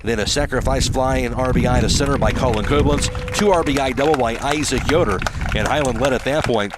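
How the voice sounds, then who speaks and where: Male American radio baseball announcer reading out game recaps and sponsor tags, mid-pitched, noisy ballpark broadcast. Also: fairly quick. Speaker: radio sportscaster.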